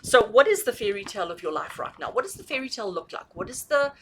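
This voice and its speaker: A woman's voice: medium pitch, very animated, fast talker, a bit bright, a little hiss or hum. A woman giving a conversational tarot reading to viewers, emphatically repeating and rephrasing her points and checking in with questions. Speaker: tarot reader hosting a reading.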